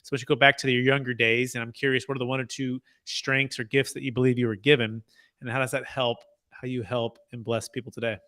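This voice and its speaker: A man's voice: mid-range voice, flat delivery, fast, pushed and bright. A man hosting a podcast interview, upbeat and encouraging toward his guest. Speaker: podcast host.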